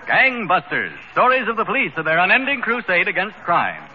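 Grandiose radio comedy announcer, very lively pitch, declamatory, noisy vintage broadcast. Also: male voice, fairly quick. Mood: mock grandiose. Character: excitable pompous male radio crime-show announcer. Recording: noisy, muffled 1930s radio recording.

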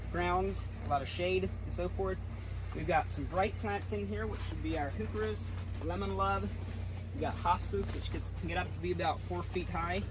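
Higher-voiced young man guiding a tour. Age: young adult. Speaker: greenhouse tour guide. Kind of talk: informal explanatory tour talk. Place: large greenhouses and garden center yard. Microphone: camera or phone a few steps away. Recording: clear background noise, handheld camera video.

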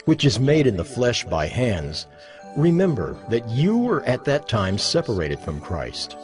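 Expressive male Bible narrator over music. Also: medium pitch, measured pace. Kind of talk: dramatized scripture reading, scripted narration. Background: music under the voice.

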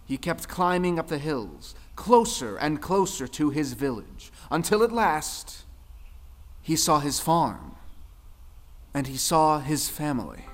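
A man's voice: on the high side, big pitch swings, takes it slowly. A man giving scripted narration for a folktale audio drama. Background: music.

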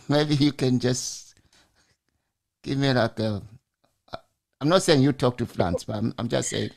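A man's voice: mid-range voice, unhurried, vocal fry. A man in his forties hosting a podcast over a video call. He asks long, winding questions and often restarts mid-sentence. He speaks into a large studio mic at home. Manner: rambling questions, frequent restarts. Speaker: podcast host. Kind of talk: casual interview. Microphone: large studio mic on arm.

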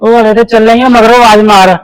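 A man's voice: sits high, steady tone, quick pace, smooth, soft background music, natural voice, low-quality recording. A man playing an impatient young husband in a family skit, brushing off his wife with annoyed retorts. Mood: annoyed, dismissive. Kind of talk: scripted family comedy skit.

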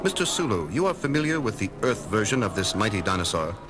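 A fairly low male voice plays a logical alien science officer. His phrasing is formal and precise with expressive swings in pitch. It is 1970s audio drama acting in a long reverb. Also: quick pace. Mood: calm, logical. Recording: reverberant sound with music behind.

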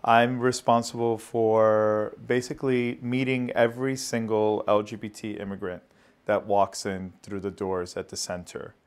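A man's voice: fairly low pitch, little pitch movement, unhurried, smooth. A middle-aged man who works with immigrants, steadily explaining his work in an office interview on a lapel mic. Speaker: immigrant services staff member.

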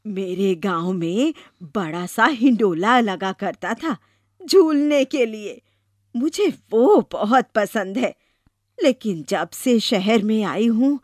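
A woman voicing a wistful, curious elderly village mother-in-law in an animated story, in a musing manner. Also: mid-range voice, no echo, clean studio sound. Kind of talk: animated moral story voice acting.

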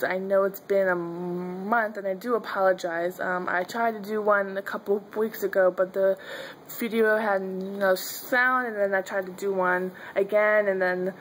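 A woman's voice: mid-range voice, a little hiss or hum, poor audio. A young woman who stutters, with blocks, repeated sounds and fillers, talking casually to her viewers in a video diary from a dim bedroom. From Pennsylvania, US. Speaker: vlogger. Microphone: webcam or phone held close.

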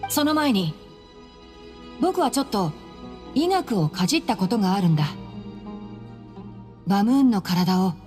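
An actor playing a calm, tactful young male scholar in a Japanese anime game, gently explaining in measured phrases. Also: high-pitched, faint music behind.

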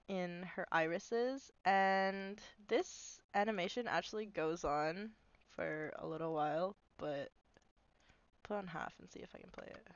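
A woman's voice: lowish voice, clean tone, no room sound, dull audio. A young woman sounding nasal from a cold, casually rambling through a walkthrough of her animation with lots of fillers. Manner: rambling, filler-heavy, self-deprecating. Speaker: animator explaining her video. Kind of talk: casual screen-recorded walkthrough.

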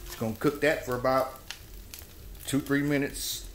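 A man hosting a home cooking show, explaining each step in a folksy, relaxed way. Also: mid-range voice, moderate pace, slight background noise. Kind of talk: casual cooking demonstration. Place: home kitchen at the stove. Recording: echoey kitchen room. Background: oil frying and sizzling in a skillet.

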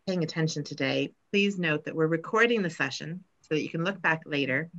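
A woman's voice: low-pitched, a bit bright. A middle-aged woman hosting a virtual conference session over a video call, friendly and welcoming with frequent fillers. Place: home room, indoors. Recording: muffled video call audio.

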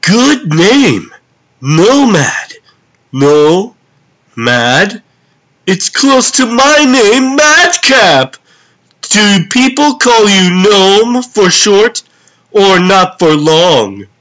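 A man's voice: sits high, expressive, very slow, a bit bright, exaggerated voice. A man voicing a gleefully zany clownish super-villain in a comic dub, theatrical, exclamatory and sing-song. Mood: chatty, amused.

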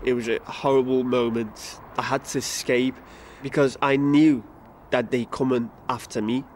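A man's voice: mid-range voice, little pitch movement, normal speed, darkish tone, a little hiss or hum. Afghan-born man with a Liverpool accent. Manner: earnest, plain-spoken. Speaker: interviewee. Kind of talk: interview. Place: outdoor garden by brick wall.

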